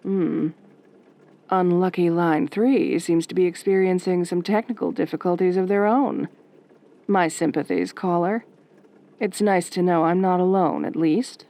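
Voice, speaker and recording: Casting: woman to play sultry night-radio host; gentle, somewhat low, dry teasing wit. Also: expressive, measured pace. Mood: drily patient. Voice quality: soft, velvety. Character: velvety, wry, flirtatious female late-night radio DJ.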